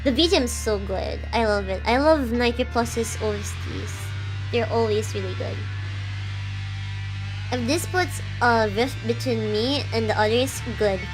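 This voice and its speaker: A woman streamer with a fairly high voice reads a dark visual novel aloud on a relaxed livestream. She slips between steady narration and chatty, giggly asides, with soft game music under her. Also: poor audio. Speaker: VTuber streamer.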